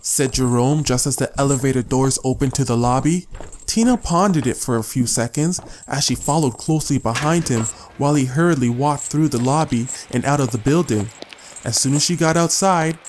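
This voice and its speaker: A man's voice: medium pitch, brisk, slight background noise. A man reading scripted story narration as the narrator of an audiobook.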